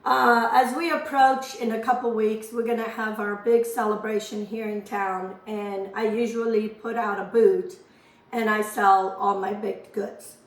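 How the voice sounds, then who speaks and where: A hoarse woman, chatting homely and rambling as she demonstrates a recipe in her home kitchen. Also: mid-range voice, flat delivery, unhurried, distant mic. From Azores. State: hoarse from a lingering cough. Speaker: cooking channel host. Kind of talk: casual cooking demonstration. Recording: roomy kitchen, voice off-mic.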